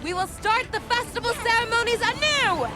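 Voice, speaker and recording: A fervent villager calls out in ceremonial proclamation in a video game. The voice is extremely high, with loud music and a roaring crowd around it. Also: female voice, normal speed. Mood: exultant. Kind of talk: video game cutscene acting.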